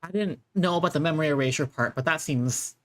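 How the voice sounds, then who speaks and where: A woman's voice: low-pitched, fast. A woman streamer giving casual, laid-back reactions in short asides while she plays a game. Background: game music.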